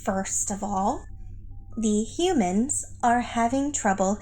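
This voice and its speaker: A woman playing a deferential, wry alien underling giving measured reports in a sci-fi satire audio play. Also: fairly high pitch, lively intonation, dark tone, poor audio. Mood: dry, matter-of-fact.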